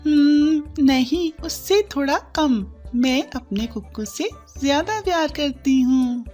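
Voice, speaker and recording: Lady doing a mama bird for kids cartoon, super high little voice, slow and motherly, bit of a worrier. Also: expressive, darkish tone, faint music behind. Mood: tender. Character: loving, anxious mother bird.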